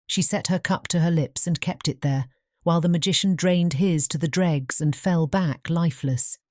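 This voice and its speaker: A woman's voice: lowish voice, moderate pace, warm tone, no echo. A woman narrating a fairy-tale audiobook in a scripted storybook style.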